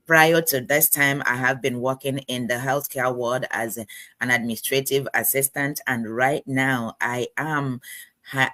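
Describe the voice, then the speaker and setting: A middle-aged woman from Nigeria introducing herself on a live stream, earnest and halting, often restarting her sentences. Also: extremely low pitch, fairly bright tone, no room sound. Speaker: co-presenter.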